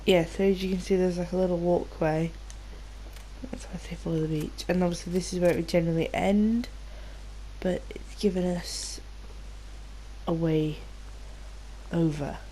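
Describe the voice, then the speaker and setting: A British woman hosts a gameplay video in a fairly low, soft-edged voice. She rambles informally and swears freely, a little nasal from a cold. There is slight noise and game ambience behind her. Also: steady tone, normal speed, rough recording. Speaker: gaming video host. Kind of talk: casual gameplay commentary.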